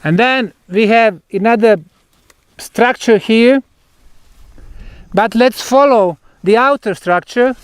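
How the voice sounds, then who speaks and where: Slow, high-pitched male tour guide. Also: clean tone, no room sound. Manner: emphatic, deliberate, short phrases with pauses. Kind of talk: on-site explanatory tour talk. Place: outdoors at a megalithic site.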